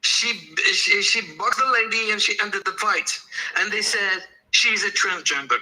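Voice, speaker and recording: Man, high-pitched, piercingly bright, insistent, repetitive, impassioned caller. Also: unhurried, low-quality recording. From Algeria. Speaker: audience caller. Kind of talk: impassioned speech in an online audio discussion.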